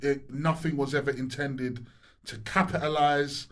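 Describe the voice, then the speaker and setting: A man, chatting casually on a podcast and telling stories in slang-heavy, animated talk. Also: medium pitch, moderate pace. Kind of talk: casual chat.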